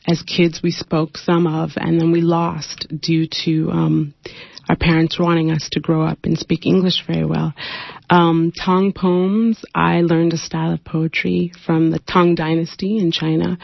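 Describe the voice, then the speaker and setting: Woman poet from Nigeria, young adult, low creaky voice, reflective and unhurried on a radio show. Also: level tone, no echo. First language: Igbo. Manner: thoughtful, unhurried, with fillers; raised, pointed delivery when reading poetry. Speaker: poet, interview guest. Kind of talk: radio interview, then poetry reading. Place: radio studio. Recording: muffled dry radio studio sound.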